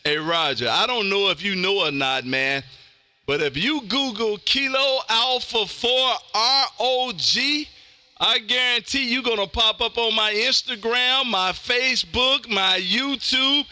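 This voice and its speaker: An American man hosting a live amateur radio stream, loud and exuberant, repeating himself for hype as he chats and calls stations. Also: very high-pitched, measured pace. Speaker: amateur radio livestream host.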